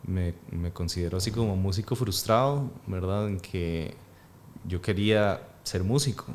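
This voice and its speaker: A man in his thirties with a Costa Rican accent answers interview questions in a slightly low voice. He thinks aloud as he talks, pausing often and reaching for his words. His tone stays calm and reflective. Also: a little hiss or hum. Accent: Costa Rican Spanish. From Peru. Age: 30s. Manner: thoughtful, hesitant, many fillers. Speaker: actor and guest. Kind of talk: casual interview.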